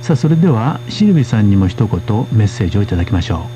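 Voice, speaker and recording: A man hosting a radio language course, speaking in a polite, steady way as he interprets for his guests. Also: fairly low pitch, rapid-fire, a little hiss or hum. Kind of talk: radio lesson presenting.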